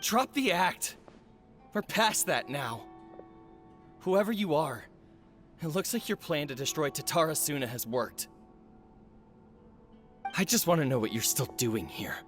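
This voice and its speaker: Grave, resolute forge overseer, music behind. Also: male voice, fairly high pitch, animated, fairly bright tone. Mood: stern, urgent. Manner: solemn, accusing, deliberate. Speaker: video game character. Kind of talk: dramatic video game voice acting. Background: background music.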